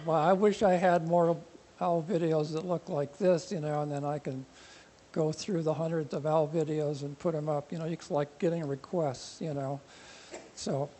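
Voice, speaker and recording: A man giving an informal public talk with a quiet voice, rambling and joking as he goes, his nose running from the cold air, speaking into a microphone. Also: on the high side, a little hiss or hum. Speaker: presenter at a conservation society talk.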